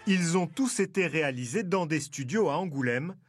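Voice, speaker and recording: A man narrating a television news report in a measured, scripted voice-over. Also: fairly high pitch, animated, over music.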